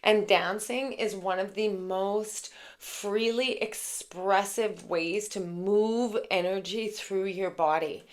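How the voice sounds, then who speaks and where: A woman with a raspy voice giving a casual, chatty tarot reading, full of fillers and warm asides to her viewers. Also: mid-range voice. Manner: gushing, chatty, many fillers like "like" and "okay". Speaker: tarot reader and intuitive.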